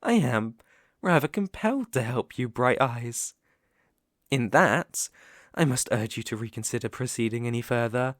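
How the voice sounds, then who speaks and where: A man with a British accent playing a sinister, smooth-talking werewolf in an ASMR roleplay, theatrical and teasing, sometimes dropping to a whisper. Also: medium pitch, expressive, normal speed, no room sound, studio quality, natural voice. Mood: condescending, delighted. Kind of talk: ASMR roleplay audio acting.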